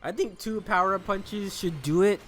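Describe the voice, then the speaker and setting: A man giving joking gameplay commentary, animated and peppered with "like". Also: high voice, normal speed, a little hiss or hum. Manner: animated, joking, heavy use of "like". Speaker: let's play host.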